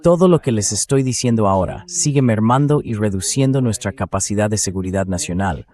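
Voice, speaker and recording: A man's voice: fairly low pitch, animated, fairly quick, darkish tone. A man hosting a political commentary show, explaining his points emphatically and addressing viewers directly.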